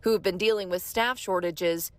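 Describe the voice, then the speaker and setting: A woman's voice: lowish voice, moderate pace, dry recording. An American woman working as a TV news reporter, reading a scripted package narration.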